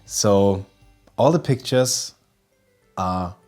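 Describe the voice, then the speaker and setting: A man's voice: lowish voice, extremely slow, a little mellow, faint music behind. German-accented man hosting a photo tutorial. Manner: eager, restarts often, searches for English words. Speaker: professional photographer presenting a tutorial. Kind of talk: casual spoken tutorial to camera. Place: indoor studio kitchen set with festive decorations.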